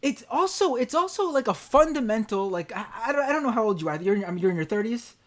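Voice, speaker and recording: A man's voice: extremely high pitch, very animated, fast talker. A man in his thirties hosting a podcast interview, talking bluntly and jokily with some swearing. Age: 30s. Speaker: show host. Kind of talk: casual podcast chat.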